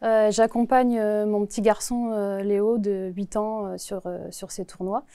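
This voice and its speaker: Hesitant woman in her forties, mellow voice. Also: mid-range voice, little pitch movement, no room sound. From Paris region, France. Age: 40s. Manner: hesitant, many filler pauses. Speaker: postal worker, interview guest. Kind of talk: answering interview questions.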